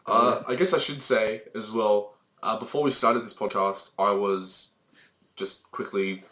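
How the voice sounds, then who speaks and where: Teen male co-host, low-ish voice, rambling, sweary, fillers. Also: a little slow, fairly bright tone. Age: teens. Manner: swears freely, rambling with many fillers and restarts. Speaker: podcast co-host. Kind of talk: casual chat. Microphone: Skype call recording.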